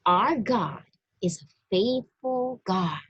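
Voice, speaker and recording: Slow, expressive woman reading aloud to children. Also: mid-range voice, slightly rough, highs cut off. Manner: deliberate, expressive, addressing young listeners directly. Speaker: storybook reader for a church. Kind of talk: read-aloud children's religious story, teaching.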